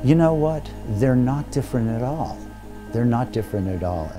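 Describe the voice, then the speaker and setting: A man's voice: medium pitch, animated, moderate pace, music in the background. A man, a professor, telling a story reflectively in an interview. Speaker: theater professor.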